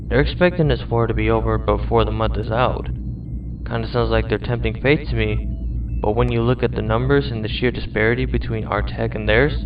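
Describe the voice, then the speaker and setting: A man's voice: mid-range voice, natural voice. An actor playing a young male space cadet recording a worried message to his father, talking in a hesitant, wistful way. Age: young adult. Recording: distant, dull lo-fi recorded message with noise.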